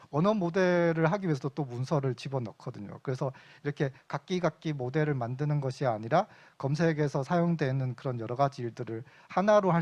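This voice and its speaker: A middle-aged man giving an invited lecture at a conference, relaxed and conversational, with frequent fillers. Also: a little high. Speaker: invited speaker. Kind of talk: conference talk. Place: lecture venue.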